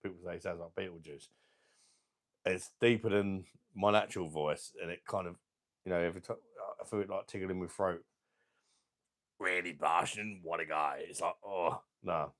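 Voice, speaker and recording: A middle-aged man with a deep voice and a sore throat, chatting casually as he hosts a beer channel livestream and reads out viewer comments in a rambling, laid-back way. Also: steady tone, moderate pace, fairly bright tone. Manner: rambling, laid-back, chuckling between remarks. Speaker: beer review channel host.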